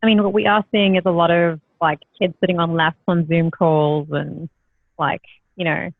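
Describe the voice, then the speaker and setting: Creaky woman host on thin phone-like audio. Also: fairly low pitch, fairly even intonation, normal speed, no echo. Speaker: podcast host. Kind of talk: casual conversational interviewing.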